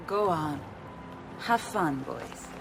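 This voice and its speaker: A worldly, teasing Italian confidante in a video game. Her accented voice is extremely animated and warm-hearted, with clear music playing behind it. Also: on the low side, heavily acted.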